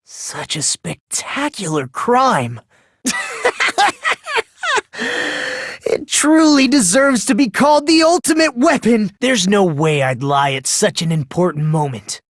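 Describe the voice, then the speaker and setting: An actor playing an enigmatic young man in a video game, polite but condescending and teasing, with theatrical pauses. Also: mid-range voice, very animated, dry recording, clean studio sound. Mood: gleeful, unhinged. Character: enigmatic young man, polite, smug, unsettlingly fixated on hope. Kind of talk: theatrical anime-style game voice acting.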